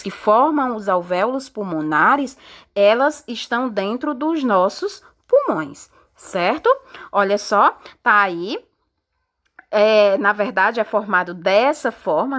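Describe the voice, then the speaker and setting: A woman's voice: on the high side, a bit bright. A woman teaching a science lesson, explaining step by step and often checking in with her students. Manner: explains slowly with frequent checking tags and hesitations. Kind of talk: recorded online class lesson.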